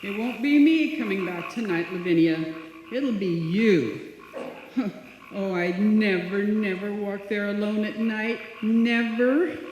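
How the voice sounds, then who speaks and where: A woman's voice: mid-range voice, slow, darkish tone, natural voice. A woman playing a timid, anxious small-town woman in a live old-time radio play, fretful and hesitant. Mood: fearful. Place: live stage performance in a hall. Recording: echoey hall, dull, faint noise.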